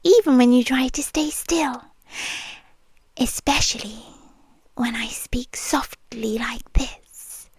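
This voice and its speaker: Brief: British woman as a creepy-sweet obsessive nurse, high voice, very slow whispered delivery, close and dry. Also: no room sound, full character voice. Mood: sweetly possessive. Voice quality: soft, breathy whispering. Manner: slow, teasing, sweetly controlling. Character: obsessive possessive young female nurse. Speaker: ASMR roleplay performer. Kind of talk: F4M ASMR roleplay. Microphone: close mic, speaking into the ear.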